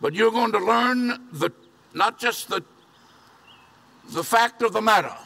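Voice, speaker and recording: An older man preaches from an outdoor podium with a bright, high-set voice. He is earnest and hammers home his key phrases. Vehicles hum faintly behind him. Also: a little slow, vocal fry. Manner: earnest, repeating phrases for emphasis. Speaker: pastor. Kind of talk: sermon. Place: outdoor church parking lot. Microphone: podium mic from a distance.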